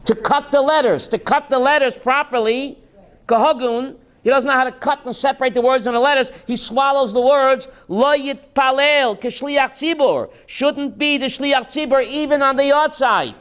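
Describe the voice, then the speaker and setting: A rabbi teaching a class, reading text slowly and then explaining it in while quizzing his students. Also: extremely high pitch, a bit bright, clear, resonant tone. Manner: reads Hebrew text aloud slowly, then explains in English, quizzing listeners.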